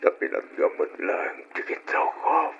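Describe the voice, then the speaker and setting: A Filipino man with an Ilocano accent playing a weak, remorseful middle-aged father in prison, breathy and halting, in an old radio drama. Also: a bit bright, natural voice. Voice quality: breathy, broken delivery. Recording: dull, noisy old radio drama recording.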